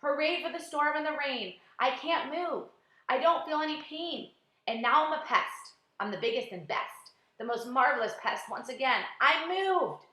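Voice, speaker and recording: A boastful little male centipede, bursting with glee and chanting his rhymes in a high, bright sing-song, as a woman reading a storybook puts him on. The voice rings out in a roomy space. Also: expressive, normal speed. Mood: gleeful. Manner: sing-song rhyming, gleeful. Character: boastful excitable male centipede, small and cocky. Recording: roomy echo.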